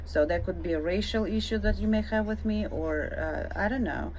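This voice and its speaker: A woman's voice: mid-range voice, moderate pace, darkish tone. A middle-aged woman from Ukraine with a Ukrainian accent, speaking emphatically and indignantly straight to the viewer in a rebuttal vlog. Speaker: vlogger answering a comment. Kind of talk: direct-to-camera rebuttal. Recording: dull lo-fi audio with faint noise.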